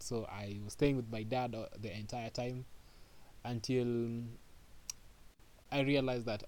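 A man's voice: medium pitch, a little mellow, poor audio. A man from Kenya, a podcast guest answering questions casually and hesitantly, with many fillers and restarts.

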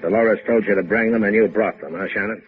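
An American actor playing a smug, menacing ship captain in a 1950s radio drama, terse and mocking. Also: male voice, lowish voice, fairly even intonation, quick pace, faint music behind, lightly acted. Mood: smug demanding. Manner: terse, coolly threatening, mocking. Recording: noisy, phone-like old radio recording.

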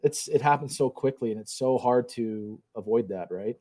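A man from Canada in a casual sports chat, measured and hedging, stammering as he starts. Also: mid-range voice, a little mellow. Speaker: podcast co-host.

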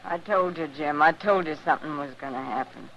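Sharp-tongued frontier wife. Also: female voice, deep voice, fairly quick. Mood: agitated. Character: fearful, bitter, sharp-tongued homesteader's wife. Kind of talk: old-time radio western acting. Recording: dull, noisy old radio recording.

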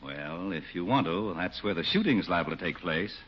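Sly low-voiced radio crook, animated. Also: male voice, moderate pace. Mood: smooth, wry. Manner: wisecracking, smooth-talking, tough-guy. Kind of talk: 1940s radio comedy acting. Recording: muffled 1940s radio recording, faint noise.

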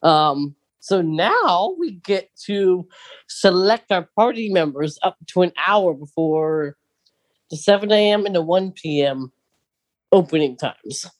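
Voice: medium pitch, expressive, takes it slowly. A podcast co-host chatting casually and conversationally about fandom news, often putting questions to a partner.

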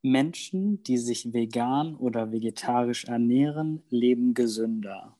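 Mellow young man from Germany. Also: medium pitch, unhurried. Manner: relaxed, with many fillers. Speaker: guest. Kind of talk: casual interview answers.

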